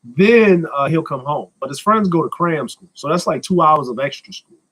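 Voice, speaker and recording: A man's voice: on the high side, expressive, normal speed, a bit bright, rough recording. An American man in his thirties chatting casually as a live stream host, answering questions in a blunt, slangy, joking manner with some swearing. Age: 30s.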